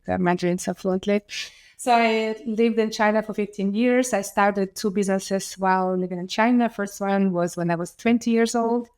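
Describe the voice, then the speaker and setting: A woman's voice: mid-range voice, a little mellow. A woman in her thirties from Ukraine with a Ukrainian accent, an enthusiastic interview guest who talks casually with fillers and restarts. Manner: enthusiastic, many fillers and restarts, laughs often.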